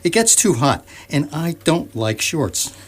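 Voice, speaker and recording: A man's voice: a little high, sing-song, measured pace. A man playing a grumbling, exasperated middle-aged husband who is fed up and wants to be a recluse, in a comedic radio sketch. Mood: gloomy, grumbling.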